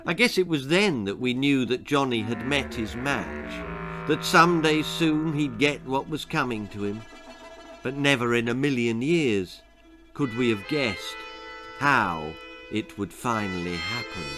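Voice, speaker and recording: A man reading a children's story aloud as a dramatic, expressive narrator. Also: medium pitch, measured pace, over music. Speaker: author reading his own story.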